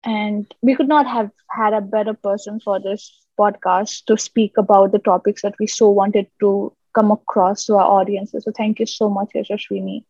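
A woman hosting a podcast who speaks warmly and encouragingly, with effusive praise for her guest. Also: medium pitch, steady tone, normal speed, hardly any pauses, dry recording, dull audio. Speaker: podcast host.

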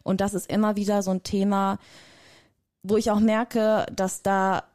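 A woman's voice: medium pitch, little pitch movement, a little slow, darkish tone, no echo, studio quality. A woman from Germany, a guest in an interview, talking casually and emphatically, often repeating words for stress. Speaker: guest, personnel developer.

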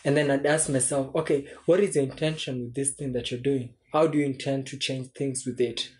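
A young man in his twenties, a podcast guest talking through his thoughts in a relaxed, reflective way with frequent restarts. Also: medium pitch, brisk. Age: 20s. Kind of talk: casual chat.

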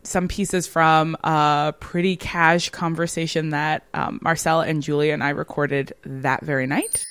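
A woman's voice: low voice, little pitch movement, a little slow, a bit bright, a little hiss or hum. A woman co-hosting a podcast in casual chat, laughing often and talking with lots of fillers. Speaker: podcast co-host.

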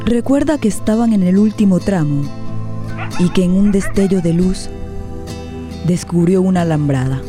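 A woman's voice: on the low side, animated, normal speed, pauses often, over music. A woman narrating a radio play in a dramatic, scripted style.

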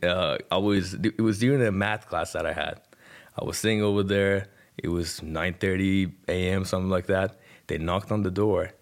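Deep-voiced young Iranian-accented man. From Iran. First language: Persian. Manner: colloquial, punctuated with "like" and "you know". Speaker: podcast guest, rapper. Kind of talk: conversational personal storytelling.

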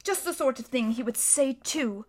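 A woman's voice: sits high, moderate pace. A woman doing an earnest, dutiful eldest boy.

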